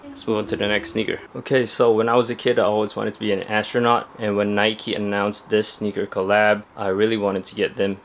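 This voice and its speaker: A man's voice: lowish voice, level tone, a little fast, slight background noise. A young man talking casually to camera at home about his sneakers, chatty and laid-back with lots of fillers. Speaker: sneaker vlogger. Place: at home indoors.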